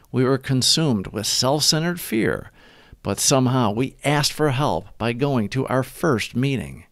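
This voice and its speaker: A man reading scripted daily recovery reflections as a host, with measured, deliberate phrasing. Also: medium pitch, dry recording, clean studio sound.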